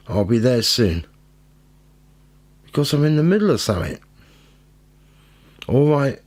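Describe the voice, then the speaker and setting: An actor playing a weary, reflective investigator who narrates a radio play in a wry, brooding, creaky voice. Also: male voice, mid-range voice, a little mellow. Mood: wary, placating. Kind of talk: scripted first-person radio drama narration. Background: music.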